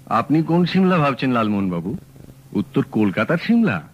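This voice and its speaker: An actor playing a calm, shrewd male detective in a radio drama, talking in a measured, dry, matter-of-fact way. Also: mid-range voice, lo-fi sound. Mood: teasing. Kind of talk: 1970s radio drama acting.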